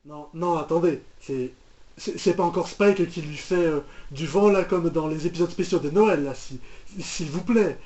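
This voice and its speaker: Man, fairly high voice, casual reaction commentary, rambling and repetitive, dull roomy sound. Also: normal speed, a little mellow, faint music behind. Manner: rambling, repetitive, mock-exasperated. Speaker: reaction video host.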